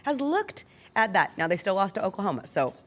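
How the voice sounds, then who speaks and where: A woman's voice: mid-range voice, big pitch swings, a little fast. A woman hosting a sports talk show, giving casual, opinionated and analytical commentary with attention to detail.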